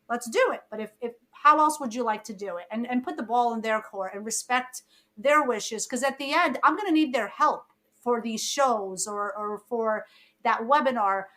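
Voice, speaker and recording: Bright-voiced New woman in her fifties. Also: a little high. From born in Egypt, raised in Brooklyn. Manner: lively storyteller who acts out quoted lines. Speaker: podcast guest, photographer. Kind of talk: casual interview chat.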